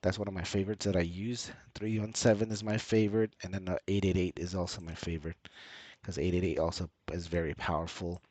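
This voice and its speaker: A man with a low voice talks through an on-screen tutorial in a casual, hesitant way, often repeating himself. He sounds like he has a slight cold. The recording is close and dry. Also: flat delivery, quick pace, a little mellow, no room sound. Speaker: channel host and tutorial presenter.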